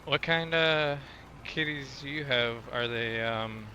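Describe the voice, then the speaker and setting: A man's voice: mid-range voice, slow, pushed and bright, a little hiss or hum, low-quality recording. A man from Illinois with a Midwestern accent, chatting loosely with viewers while streaming a game. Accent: Midwestern American. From Illinois, USA. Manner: rambling, loose, filler-heavy talk to chat. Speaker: gaming streamer. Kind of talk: casual live-stream chat while playing.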